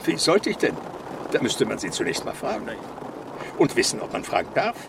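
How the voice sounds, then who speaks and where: A learned, fussy French police commandant in a radio crime play. His mid-pitched voice is creaky and moves up and down in lively fashion, precise yet nervous. Clear ambient noise sits behind him. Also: fairly quick. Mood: pedantic. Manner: precise, hesitant, correcting others. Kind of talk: German radio crime drama acting. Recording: noisy vehicle and street ambience.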